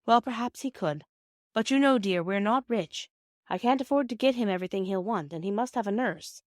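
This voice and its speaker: A woman voicing a gentle, patient British mother in a storybook, reasoning tenderly and in measured phrases. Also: medium pitch, expressive, no echo, clean studio sound, voice pushed up, lightly acted. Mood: patient, kindly.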